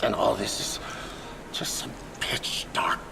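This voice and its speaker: An actor playing a haunted, guilt-ridden seafarer in a video game, telling his story in a halting, brooding, breathy rasp. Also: in a whisper, natural voice. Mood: anguished, pleading. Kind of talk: dramatic game cutscene monologue. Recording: heavy background ambience noise.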